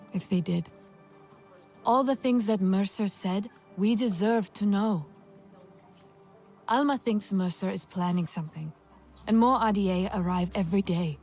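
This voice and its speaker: An actress playing a solemn, determined woman in a video game, narrating her resentful thoughts in a brooding, deliberate way. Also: medium pitch, a little mellow, lightly acted.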